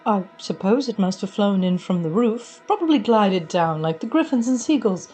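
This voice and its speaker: A woman's voice: mid-range voice, expressive, moderate pace, darkish tone, husky, faint music behind. A woman playing a reserved, dignified young apprentice wizard in a cozy fantasy radio story, speaking politely and succinctly. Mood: matter-of-fact.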